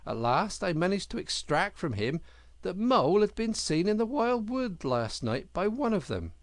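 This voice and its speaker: A man voicing a hearty, boastful otter in a storybook, chummy and brisk, with jolly, emphatic old-school slang. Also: sits high, very animated, no room sound, pitched above the performer's own voice. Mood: excited, vivid.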